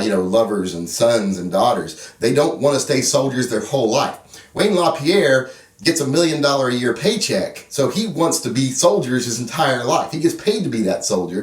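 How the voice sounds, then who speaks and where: A man's voice: mid-range voice, recorded from a distance. A middle-aged man with a bad cold, sniffly, giving a blunt, opinionated, unedited rant to camera as a gun channel host. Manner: blunt, opinionated, wry asides. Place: home video setup with static background. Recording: roomy sound, mic set back from speaker.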